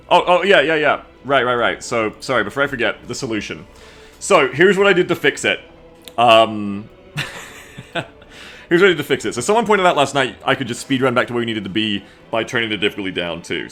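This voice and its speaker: A man chatting casually to viewers as a game streamer, rambling, excitable and swearing freely. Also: medium pitch. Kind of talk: casual livestream chat to viewers. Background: music.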